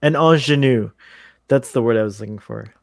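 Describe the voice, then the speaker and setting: An easygoing man hosts a casual watch-along, laughing often and pausing to search for the right word. His voice sits at an ordinary middle pitch. The recording is dry, with no room sound. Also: vocal fry, dry recording. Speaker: show host.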